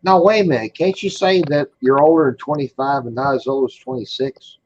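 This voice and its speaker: A man on a casual livestream, loud and teasing, who swears a lot and keeps calling out to his friends. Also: mid-range voice, brisk, muffled recording. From Colorado. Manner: loud, teasing, profane, repeats "hey". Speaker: livestream participant. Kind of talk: rowdy casual chat.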